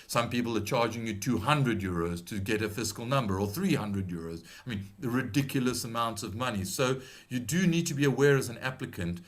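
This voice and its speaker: A middle-aged British man with a slight South African tinge to his accent, presenting a webinar in a measured, advisory way with frequent ums and restarts. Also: mid-range voice, lively intonation. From Britain. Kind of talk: explanatory webinar talk.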